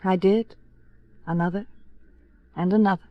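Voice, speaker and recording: An actress plays a self-possessed American society woman from a 1950s radio drama. She is languid and frank, with a world-weary edge. Her mid-pitched voice comes through a dull, dry vintage recording. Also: steady tone, measured pace, no room sound, natural voice. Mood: flirtatious, languid.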